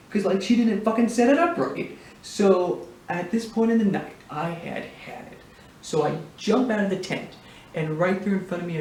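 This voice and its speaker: A man's voice: high-pitched, darkish tone. A man acting a crude, incredulous guy who rambles through a casual comic yarn with plenty of swearing and filler. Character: crude, slacker-ish guy spinning a wild camping yarn. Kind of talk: casual comic anecdote monologue. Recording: echoey room, distant mic, faint hiss.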